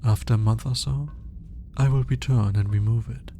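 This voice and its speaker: A man playing an alien scientist in an ASMR roleplay, formal and curious, with clinical wording and hesitant, flustered pauses as he awkwardly courts the listener. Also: medium pitch, steady tone, warm tone, slight background noise, close to an everyday voice. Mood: matter-of-fact, reassuring. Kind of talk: ASMR roleplay audio drama, speaking to the listener.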